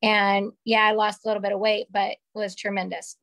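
A woman in her forties with a slightly raspy voice, sharing a candid personal story on a video call from a small room at home. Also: mid-range voice, little pitch movement, fairly quick, fairly bright tone, no echo. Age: 40s. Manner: candid, chatty, gestures with her hands. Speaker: call participant. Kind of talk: casual personal testimonial. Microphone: webcam on a video call.

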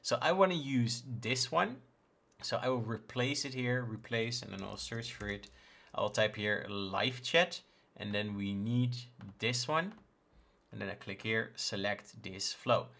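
A man in his thirties from the Netherlands, a native Dutch speaker with a Dutch accent, casually presenting a software tutorial. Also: on the low side, unhurried, vocal fry. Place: indoors in a home room, screen recording with webcam.

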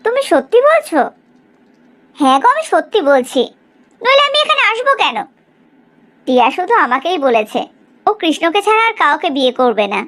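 A woman voicing a proud, sharp-tongued middle-aged village mother in a cartoon story, in an exaggerated, gossipy way. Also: extremely high pitch, big pitch swings, normal speed, long pauses, full character voice. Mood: pleading, insistent. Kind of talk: Bengali cartoon story dialogue.

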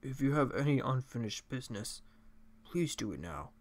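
A man voicing a gentle, sorrowful, fatherly old goat-monster king from a video game, soft and measured. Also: medium pitch, creaky, close to an everyday voice. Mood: gentle sadness. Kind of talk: video game character impressions.

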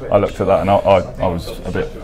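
A man's voice: low-pitched, little pitch movement, fast talker, darkish tone. A man in his thirties from North West England, interviewing finalists at a competition with upbeat praise, on a lapel mic in an indoor hall. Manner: upbeat, praising, repeats "good stuff". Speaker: interviewer, electrician trainer. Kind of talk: encouraging event interviews.

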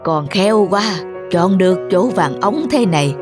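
A woman playing a gentle, nostalgic elderly grandmother in a cartoon, speaking in a tender, homely, wistful way. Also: lowish voice, expressive, measured pace, over music. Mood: fond. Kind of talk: animated cartoon dialogue.

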